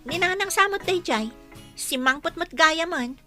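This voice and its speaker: A woman's voice: very high voice, expressive, a little slow, loud music behind the voice. A woman playing a cheeky, lazy young daughter in an Ilocano comedy radio drama, whining and arguing with an Ilocano Filipino accent. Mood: excuse-making.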